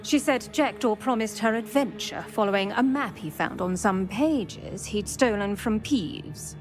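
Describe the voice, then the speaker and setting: An actress playing an older retired Auror, formal and proper in manner. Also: female voice, medium pitch, a little slow, natural voice. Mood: brisk. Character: retired older woman Auror, stern but kindly. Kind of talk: video game voice acting. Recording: game audio with music behind.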